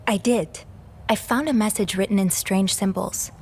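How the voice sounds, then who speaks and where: A woman playing an empathetic, curious young hotel maid in a video game, speaking in an earnest, gentle, thoughtful way. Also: medium pitch, moderate pace, slight background noise, lightly acted. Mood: confiding. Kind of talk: naturalistic video game voice acting.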